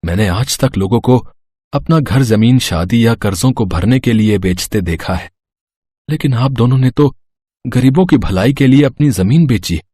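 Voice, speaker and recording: A man's voice: mid-range voice, expressive, moderate pace, mellow, no echo, natural voice. A man playing a poor young father, respectful and careful, in a moral story.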